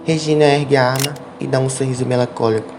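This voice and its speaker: A man's voice: medium pitch, steady tone, quick pace, background noise. A man reading scripted narration as the narrator of an audio drama. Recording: loud music under the voice.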